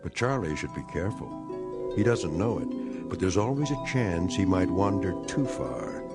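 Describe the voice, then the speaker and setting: A man acting as a grave, knowing storyteller, narrating an eerie radio drama in a measured, ominous way. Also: sits low, very animated, heavily acted. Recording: prominent music behind a radio drama voice.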